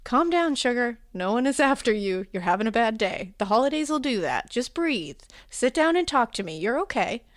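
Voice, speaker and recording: A woman's voice: fairly high pitch, big pitch swings, normal speed, hardly any pauses, dry recording, clean studio sound. A woman voicing a kindly older lady who coaxes in a soothing, folksy way. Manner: soothing, coaxing.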